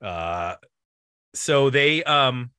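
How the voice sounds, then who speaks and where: A man's voice: medium pitch, expressive, extremely slow, sharp tone, clean tone, no echo, studio quality. A man hosting a music podcast, casually explaining the history of an album in an informal, loosely structured way.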